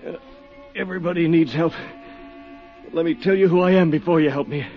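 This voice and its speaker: A man's voice: a little high, a little fast, muffled recording, soft background music, close to an everyday voice. An actor playing a battered, bitter man in a 1950s radio drama, sounding dazed and hurt. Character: battered, bitter man just beaten in an alley.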